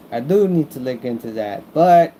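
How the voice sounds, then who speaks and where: A man with an American accent talking casually as a vlogger, rambling through his opinions in a repetitive, halting way as he searches for words. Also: a little high, dark tone, a little hiss or hum. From Mexican-American, born in the United States.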